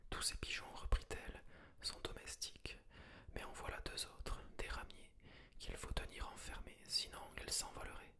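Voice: normal speed, bright, forceful tone, lightly acted. A whispered reading of a cruel little robber girl. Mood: teasing. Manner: whispered throughout. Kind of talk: whispered ASMR storybook reading.

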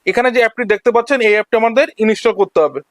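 High-pitched, quick-talking man giving a tutorial. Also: animated, no room sound. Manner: instructional, explains each step in turn. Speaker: tech tutorial host. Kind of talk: step-by-step screen-recording tutorial narration.

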